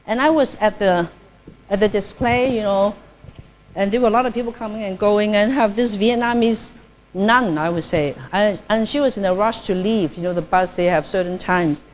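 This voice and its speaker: Chatty nun with a friendly lilt. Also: female voice, medium pitch, moderate pace, a little hiss or hum, rough recording. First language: Cantonese. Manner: chatty, frequent tag "okay?", laughs easily. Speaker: Buddhist nun giving a dharma talk; publications director. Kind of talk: informal dharma talk.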